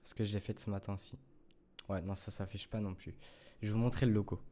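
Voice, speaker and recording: A man's voice: lowish voice, fairly even intonation, fast talker, mellow. Rambling French gaming tutorial guy. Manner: rambling, full of fillers and self-corrections, addresses viewers as "les gars". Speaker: gaming youtuber. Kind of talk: casual gameplay tutorial.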